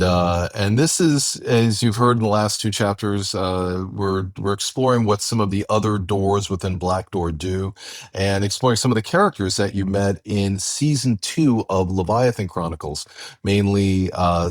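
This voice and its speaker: A man's voice: on the low side, a little slow, no echo, clean studio sound. A man co-hosting a podcast, chatting casually and conversationally as he introduces an audio drama. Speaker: podcast co-host.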